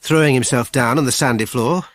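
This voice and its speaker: Low-pitched British woman narrating a story. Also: fairly quick, no room sound. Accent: British English. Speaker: audiobook narrator. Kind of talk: scripted children's story narration.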